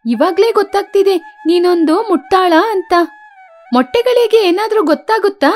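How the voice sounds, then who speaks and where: A woman's voice: very high voice, expressive, moderate pace, a little mellow, faint music behind. A woman voicing a blunt, teasing female parrot in a children's cartoon, scoffing at her friend. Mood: mocking.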